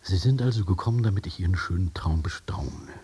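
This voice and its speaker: Calm male analyst of dreams, very husky, dark soft tone, measured, dryly ironic, radio drama. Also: low-pitched. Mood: dryly challenging. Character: calm, probing male dream interpreter, quietly mocking. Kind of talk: German radio play acting.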